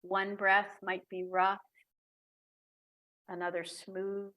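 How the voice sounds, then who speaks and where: A woman leads a guided breathing meditation, speaking very slowly and softly with long pauses between phrases. Her voice sits at a middle pitch and stays calm throughout.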